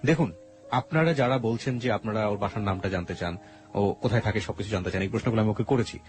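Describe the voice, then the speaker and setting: A man's voice: fairly low pitch, fast talker. A man with a Bangladeshi accent hosts a radio interview, questioning his guest and politely explaining things to listeners. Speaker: radio show host. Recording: prominent music under the voice. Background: background music.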